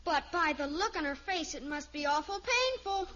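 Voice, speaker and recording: A woman's voice: high voice, animated, moderate pace. An actress playing an eager, candid, inquisitive little girl in a 1950s radio sitcom. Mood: wide-eyed, curious. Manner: wide-eyed, candid questioning. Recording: muffled old radio recording, faint hiss.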